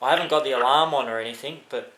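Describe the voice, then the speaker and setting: A man with an Australian accent talks in a loose, blokey way as a caller on the phone. His voice is bright, and the recording is echoey with faint noise. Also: medium pitch, rapid-fire. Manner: blokey, matey, rambling. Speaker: prank caller. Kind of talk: casual phone call chat. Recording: roomy, faintly noisy, echoing phone-call recording.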